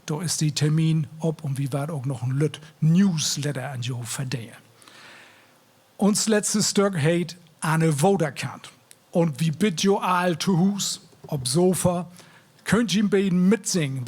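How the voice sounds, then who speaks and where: A man's voice: fairly high pitch, a little slow, darkish tone, slightly rough. A man with a North German accent, a singer chatting to his audience between songs in a folksy way at a live concert. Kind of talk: stage banter between songs. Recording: live concert sound with music. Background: music.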